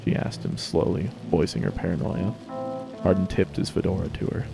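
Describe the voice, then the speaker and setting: A man's voice: low voice, steady tone, normal speed, vocal fry, music in the background. A man narrating a story as a scripted dramatic reading.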